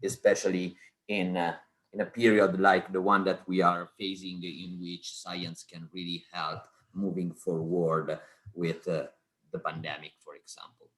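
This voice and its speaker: A man from Italy with an Italian accent, a research scientist giving an informal presentation in halting, self-deprecating English. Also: sits low, flat delivery, fairly bright tone. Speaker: research scientist, guest speaker.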